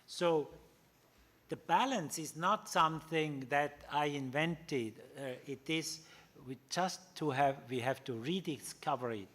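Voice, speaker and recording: A man's voice: fairly high pitch, fairly bright tone. German-speaking man lecturing with many fillers. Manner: halting, many fillers and restarts; pauses on single words, slow in places. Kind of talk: public lecture.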